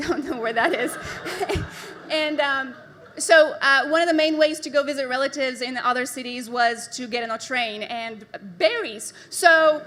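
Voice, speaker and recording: A woman's voice: sits high, pushed and bright. A woman from Bulgaria telling jokey stories on stage, giggling between lines. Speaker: presenter at a live talk night.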